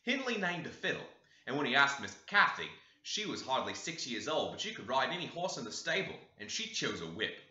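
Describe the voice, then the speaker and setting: A man narrates an old novel in a very bright, forceful voice. His delivery is expressive and moves around in pitch. The sound is roomy and echoey. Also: brisk, muffled recording. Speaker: audiobook reader. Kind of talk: scripted narration of a classic novel.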